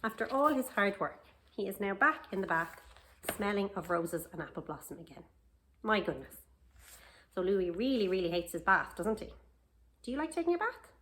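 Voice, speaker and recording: A woman reading a picture book aloud to children, expressively, with questioning asides and drawn-out emphasis. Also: mid-range voice, sing-song, a bit bright, slight background noise, low-quality recording. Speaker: library storytime reader.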